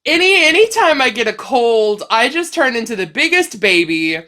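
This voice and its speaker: A woman's voice: on the high side, very animated, normal speed, pushed and bright. A woman hosting a crochet vlog in a casual, playful, chatty way, sounding slightly stuffed up with a cold. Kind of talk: casual chatty talk to camera.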